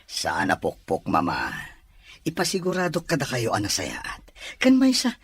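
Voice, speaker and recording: Man playing husky, breathy Filipino grown son. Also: a little high, huge pitch swings, moderate pace, a bit bright, no room sound, heavily acted. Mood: amused, coaxing. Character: grown son talking back to his mother. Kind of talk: melodramatic audio drama acting.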